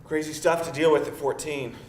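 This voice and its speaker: A man's voice: fairly high pitch, fairly even intonation, a little fast, darkish tone, slight background noise, natural voice. A man acting a teasing, incredulous young dinner companion at a live script read, in a conversational style. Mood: teasing, curious. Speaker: actor at a screenplay table read. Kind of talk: conversational comedy-drama dialogue. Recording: roomy, echoing live room.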